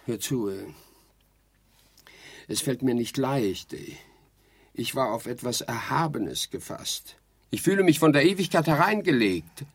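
An actor playing a haughty, exasperated dead sleep researcher in a theatrical radio play, declaiming and lecturing indignantly. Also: male voice, mid-range voice, lively intonation, unhurried, long pauses, slightly rough. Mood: weary, disappointed.

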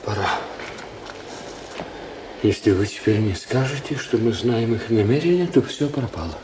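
Doctor friend played by a man: wry, loyal, anxious, swooping intonation, radio drama style, roomy sound with clear noise. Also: lowish voice, moderate pace, close to an everyday voice. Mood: urgent. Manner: wry, expressive.

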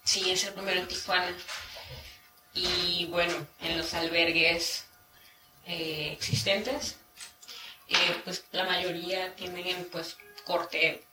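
A woman answering interview questions haltingly, searching for words, in a field recording. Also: lowish voice, little pitch movement, over music. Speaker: shelter worker being interviewed. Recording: echoey, noisy field interview.

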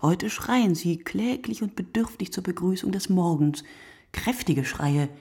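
A woman playing a weary, sleepless night thinker in a literary radio play, speaking in measured, contemplative fragments with a hushed tone. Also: fairly low pitch, expressive, husky, no echo, clean studio sound, heavily acted. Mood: tired, musing. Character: weary, sleepless woman narrating her night-watch thoughts.

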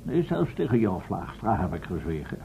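An actor playing an eccentric, self-important professor and inventor in a Dutch radio serial, pompous and often hunting for words. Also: male voice, sing-song, moderate pace, vocal fry, mellow, full character voice. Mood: proudly secretive. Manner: pompous, hesitant, searching for words. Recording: muffled phone-like old radio recording, rumbling noise.

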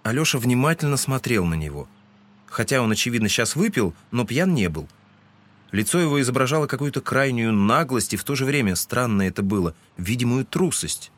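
A man's voice: medium pitch, expressive, fairly quick. A man reading scripted literary narration as the narrator of a dramatized audiobook.

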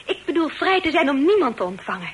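Radio play: proud, indignant young lady, high-pitched, formal, emphatic delivery, faintly hissy recording. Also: big pitch swings, fast. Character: dignified, indignant young lady standing her ground.

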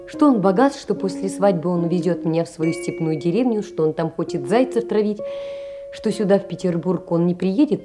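An actress playing a poor, earnest young woman confiding in a dear friend, in a measured audio-play monologue. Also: medium pitch, natural voice. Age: young adult.

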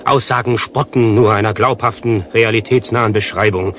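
An actor playing a pompous, self-important avant-garde playwright in a satirical radio play, speaking in long-winded, declamatory sentences with precise diction. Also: male voice, lowish voice, steady tone, moderate pace, fairly bright tone, a little hiss or hum, natural voice. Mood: pompous, lecturing.

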